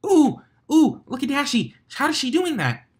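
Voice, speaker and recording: An excitable young female cartoon pony, acted by a man, pitched way up high. The delivery is bubbly and gushing, full of exclamation. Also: moderate pace, heavily acted. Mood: excited.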